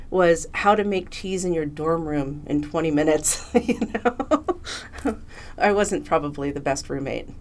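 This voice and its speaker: Woman, low voice, from Pennsylvania, chatty, enthusiastic, interview guest. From Pennsylvania, USA. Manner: chatty and enthusiastic, with frequent fillers. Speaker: interview guest, philosophy professor. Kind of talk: conversational interview.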